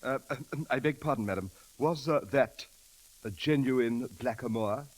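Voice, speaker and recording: An actor playing a timid, deferential English manservant in an old radio comedy, speaking formally and hesitantly with an English accent. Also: male voice, medium pitch, measured pace, close to an everyday voice. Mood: polite, unsure. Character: proper, timid, deferential English manservant, middle-aged gentleman. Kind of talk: 1930s-40s radio comedy acting. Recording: vintage radio recording with sizzling crackle.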